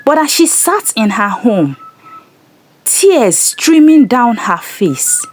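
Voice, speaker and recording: Female storyteller for scripted folktale narration: unhurried, measured, highly varied intonation. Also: very animated, a little hiss or hum.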